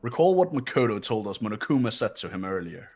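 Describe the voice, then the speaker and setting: A man's voice: fairly low pitch, very animated, fast talker, slightly rough, heavily acted. A man voicing a cold, confident teenage boy who lectures the group in a fan-voiced game.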